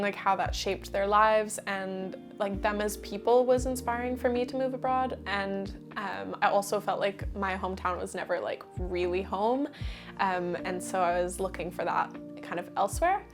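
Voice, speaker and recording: Young Canadian woman over clear music. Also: medium pitch. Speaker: interviewee. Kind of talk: casual interview.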